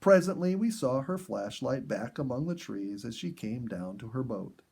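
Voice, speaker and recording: A man narrating a story in an earnest, dramatic storybook style with emphatic pauses. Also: medium pitch, lively intonation, vocal fry, a little mellow, husky. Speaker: audiobook narrator.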